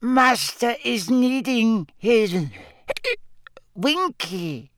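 A man doing Winky, a squeaky drunk house-elf. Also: sing-song, very slow, a bit bright, no echo, studio quality, exaggerated voice. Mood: tearful. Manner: squeaky, hiccuping and coughing, slurred. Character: drunken tearful small female house-elf.

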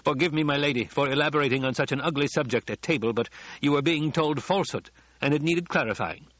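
A man voicing a calm, dangerous ecologist who lectures with precise, formal courtesy in an audiobook. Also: on the high side, fast, dry recording, lo-fi sound, voice pushed up. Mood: formal, courteous. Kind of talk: audiobook character dialogue.

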